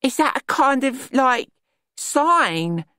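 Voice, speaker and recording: A man's voice: very high-pitched, very animated, takes it slowly, sharp tone, pitched above the performer's own voice, exaggerated voice. A man voicing a dreamy, simple, credulous young woman as a naive audiobook character.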